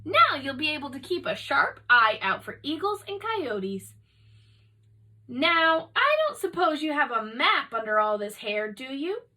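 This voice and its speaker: A chatty, confident storybook sheep, acted out by a woman reader, with a high, bright voice. She is sure of herself and eager to help, and her pitch swoops freely as she takes charge. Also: sing-song, unhurried, heavily acted. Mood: confident, bossy. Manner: self-assured, eager to help. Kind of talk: children's storybook read-aloud.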